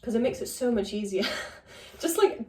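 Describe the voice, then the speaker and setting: A woman's voice: on the high side, animated, moderate pace, a little mellow. A young woman, a student co-host, talking in a chatty, candid way. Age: young adult. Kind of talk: casual chat. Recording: echoey room.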